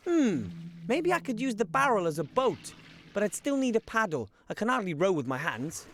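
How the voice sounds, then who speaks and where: A man's voice: high-pitched, very animated, moderate pace, fairly bright tone, a little hiss or hum. An actor playing a timid, bumbling young male gnome adventurer in a game, fumbling and stammering as he gropes about. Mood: sheepish. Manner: fumbling, hesitant, stammering when startled. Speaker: game character.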